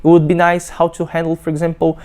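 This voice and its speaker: A young man from Brazil with a Brazilian Portuguese accent, a PhD student giving a halting testimonial full of fillers and restarts. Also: a little high, completely flat delivery, darkish tone, smooth. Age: young adult. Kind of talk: testimonial interview.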